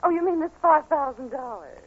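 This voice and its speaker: A woman's voice: sits high, lively intonation, brisk. An actress playing a sly, mercenary woman with a mocking, taunting manner in 1940s radio drama style. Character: sly, mocking, mercenary woman named Kate, toying with a man. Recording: dull, hissy 1940s radio recording.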